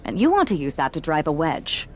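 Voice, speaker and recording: A sardonic, guarded woman wrestler in a video game cutscene. Her pitch is a little under average for a woman but moves in a very lively way. She sounds casual and wry, over faint background ambience. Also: a little fast, a little hiss or hum.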